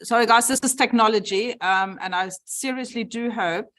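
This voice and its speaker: A woman's voice: fairly high pitch, moderate pace, fairly bright tone. A woman presenting a university science centre, talking casually and laughing as she repeats herself while fighting screen-sharing troubles in a lecture venue. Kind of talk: informal talk with tech troubles. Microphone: room mic, sometimes off mic.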